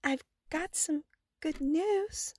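Actress as meek, apologetic girlfriend for radio drama; extremely high voice, slow, hesitant, dry sound. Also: a little mellow. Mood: nervous, placating. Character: meek, lonely, anxious, eager-to-please woman.

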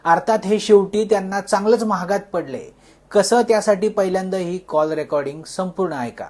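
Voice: medium pitch, a little fast, darkish tone. A news presenter reading a scripted report and addressing the audience.

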